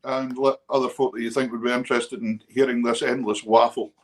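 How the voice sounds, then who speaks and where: A man's voice: mid-range voice, a little fast. A man hosting a music show, chatting easily and speaking straight to the viewers. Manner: relaxed and chatty, addresses viewers as folks. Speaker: host. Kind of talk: casual chat.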